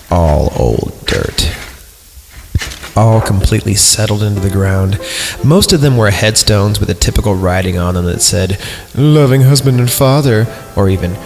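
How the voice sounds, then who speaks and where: A man with a low, gravelly voice reads a story aloud and keeps stopping to riff on it with loose, irreverent jokes. Music plays clearly behind him throughout. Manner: rambling, irreverent, joking commentary. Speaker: podcast host. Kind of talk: comedic story reading with asides.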